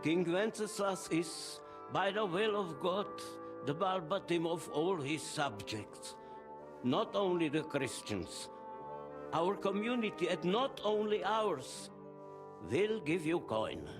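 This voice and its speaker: A man acting a solemn, loyal community elder in a game, speaking in a deliberate, declamatory way. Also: sits high, animated. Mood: solemn, proud. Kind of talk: dramatic scripted game acting. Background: orchestral brass music.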